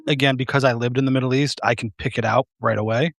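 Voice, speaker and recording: A man's voice: mid-range voice, fairly even intonation, fast talker, creaky, fairly bright tone, dry recording, clean studio sound. A man hosting a casual live interview on a video call, rambling and self-deprecating with many fillers. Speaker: host.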